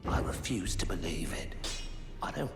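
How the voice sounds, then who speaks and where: An actor playing a gruff, self-deprecating old smith in a fantasy game, gravelly and hushed. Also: male voice, sits low, lively intonation, normal speed. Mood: gruff, disbelieving. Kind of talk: scripted fantasy game dialogue. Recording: echoey, music behind the voice.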